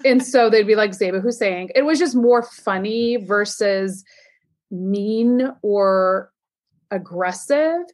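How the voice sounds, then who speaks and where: A woman's voice: medium pitch, slow pace, darkish tone. A woman in her forties from the United States, speaking in an agreeable, conversational way as an interview guest. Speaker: podcast host, guest.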